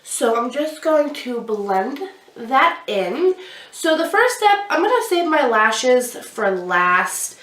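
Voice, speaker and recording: A woman's voice: high-pitched, very animated, slow, fairly bright tone. Chatty young woman vlogger w a stuffy cold nose, echoey room, kinda distant mic. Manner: chatty, explaining each step while applying makeup. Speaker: beauty vlogger. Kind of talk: casual makeup tutorial. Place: small indoor room with a glittery backdrop. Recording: roomy sound, somewhat far from the mic.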